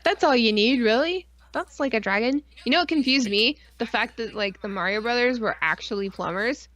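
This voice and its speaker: A woman's voice: a little high, brisk, a bit bright. Blunt, irreverent woman in casual banter. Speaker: co-host of a weekly pop culture stream. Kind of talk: casual chat, crude joking banter.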